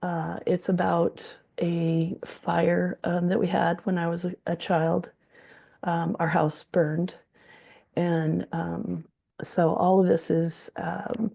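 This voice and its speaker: Hoarse, gravelly older woman. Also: fairly low pitch, mellow. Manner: unhurried, with frequent ums. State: hoarse from the flu. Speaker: textile artist presenting her work. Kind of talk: slide presentation of her quilts.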